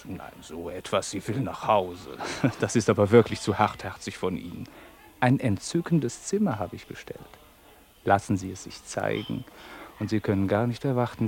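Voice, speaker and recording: An actor in a 1960s German radio comedy playing a rich, smug company boss, smooth-tongued, flattering and pushy. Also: male voice, on the low side.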